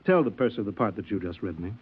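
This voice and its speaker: Scheming, ingratiating radio-drama passenger. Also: male voice, on the low side, expressive, fast talker, husky. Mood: genial, manipulative. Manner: ingratiating, insinuating, unruffled. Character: smooth, scheming, falsely friendly male passenger. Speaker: ship's passenger. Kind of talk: old-time radio drama acting. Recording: dull old radio recording.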